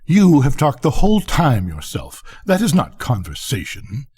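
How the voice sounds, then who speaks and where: Man playing a pompous, husky storybook rocket. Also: medium pitch, huge pitch swings, unhurried, a little mellow, full character voice. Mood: haughty, boastful. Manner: haughty, self-important declaiming. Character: pompous, conceited male firework rocket, haughty and vain. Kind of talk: storybook character reading.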